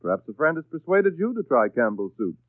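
A man's voice: a little high, sing-song, a little fast, dry recording. An American man reading a script as a 1930s radio announcer. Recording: dry, tinny 1930s radio broadcast.

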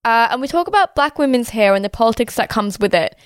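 Chatty woman, a bit hoarse. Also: fairly high pitch, lively intonation, dry recording, studio quality. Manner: chatty, fast-flowing, with "like" and "uh" fillers. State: slightly hoarse with a sore throat. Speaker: podcast host. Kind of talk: casual podcast chat.

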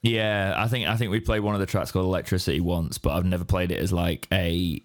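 An English man with a deep, raspy, hoarse voice from straining it, rambling in casual podcast chat. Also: steady tone, quick pace. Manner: rambling, restarts mid-sentence. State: hoarse after straining his voice. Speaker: podcast co-host.

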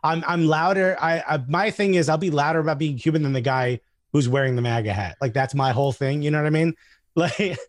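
A man chatting casually as a comedian guest, telling anecdotes in an animated way and acting out the dialogue. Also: a little high, a little fast, no echo, studio quality. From Newark, New Jersey, USA.

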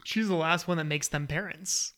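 A man's voice: a little high, normal speed, sharp tone. A man in his thirties co-hosting a casual podcast recap, easygoing and playful, often laughing. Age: 30s. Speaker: podcast co-host. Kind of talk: casual reality-TV recap chat.

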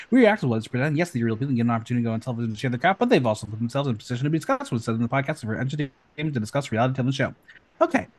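A man hosting a casual podcast, chatty and excitable, rattling off news with lots of ums. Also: mid-range voice. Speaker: podcast host.

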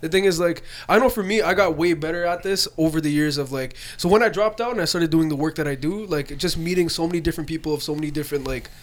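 A man's voice: a little high, fast, hardly any pauses. A man co-hosting a casual chat, blunt and opinionated and swearing freely.

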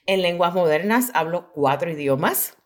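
A woman's voice: lowish voice, animated, moderate pace. A middle-aged woman with a Puerto Rican accent, telling her story conversationally as an interview guest and mixing in English phrases. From Puerto Rico. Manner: mixes English phrases into Spanish. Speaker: guest, pediatric dental hygienist.

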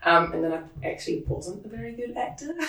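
A woman from New Zealand with a New Zealand accent, talking as a guest in a casual interview. She speaks quickly and animatedly, with lots of "like" and laughter. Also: fairly high pitch. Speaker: interview guest, production head. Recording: roomy sound, distant from the mic.

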